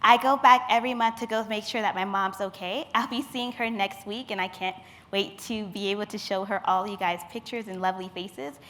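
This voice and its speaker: A woman's voice: medium pitch, a bit bright. A woman in her twenties, a youth leader, giving a personal talk as she presents photo slides to a forum. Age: 20s.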